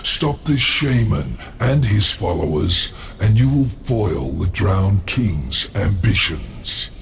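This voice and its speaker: A man voicing a grave, ominous otherworldly informant in a video game, speaking in a deliberate, portentous way. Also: fairly low pitch, very animated, creaky, heavily acted. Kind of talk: game cutscene dialogue. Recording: faint ambient noise.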